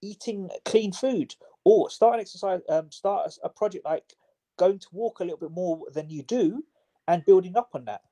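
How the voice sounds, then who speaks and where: Mellow-voiced man, chatty. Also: high voice, normal speed, low-quality recording. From Southwest London. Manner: chatty, many fillers and restarts. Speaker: personal trainer. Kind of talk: casual interview answers.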